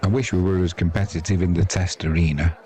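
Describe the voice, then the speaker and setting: A man's voice: low voice, fairly even intonation, fast talker, mellow. A man giving casual live cricket commentary in a wry, teasing, chatty manner. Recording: crowd noise behind the voice.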